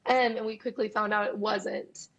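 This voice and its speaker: A young woman in her twenties with a raspy voice, chatting candidly and openly as a guest musician in an interview, often breaking into laughter. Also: medium pitch, steady tone, moderate pace, fairly bright tone, muffled recording. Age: 20s. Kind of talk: casual interview chat. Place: Nashville.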